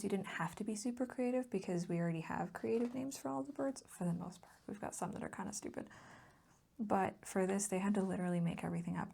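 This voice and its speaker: Soft-spoken ASMR woman, creaky and whispery. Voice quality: soft, breathy whispering mixed with low voiced murmur. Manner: gushing, rambling, affectionate. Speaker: host of a hobby ASMR channel. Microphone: close mic, recorded as voice-over.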